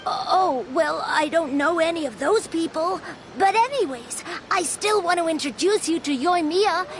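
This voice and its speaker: A woman's voice: very high-pitched, lively intonation, unhurried, a little hiss or hum, exaggerated voice. A woman voicing a friendly, chatty young child in a video game, youthful and eager.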